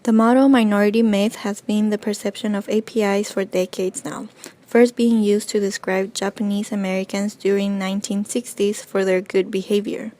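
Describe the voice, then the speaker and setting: A woman reading a scripted documentary narration in a clear, informative way. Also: mid-range voice, flat delivery, normal speed, dark tone.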